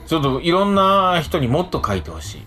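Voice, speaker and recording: A man's voice: a little high, huge pitch swings, brisk, bright, forceful tone. A man co-hosting a radio show in casual, conversational Japanese talk, often agreeing with his partner. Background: background music.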